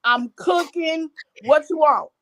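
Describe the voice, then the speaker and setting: An older woman calling in to a show, talking bluntly and excitedly in casual slang. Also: sits high, takes it slowly. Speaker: caller. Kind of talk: casual phone-in chat.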